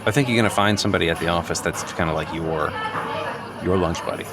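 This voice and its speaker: There is noticeable background noise around a deep-voiced man acted by a male improviser. He is a defensive, philosophizing office worker in a naturalistic radio play. He hesitates, circles back and earnestly justifies his new enthusiasm. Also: fast talker, over a noisy crowd. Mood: parting, conciliatory.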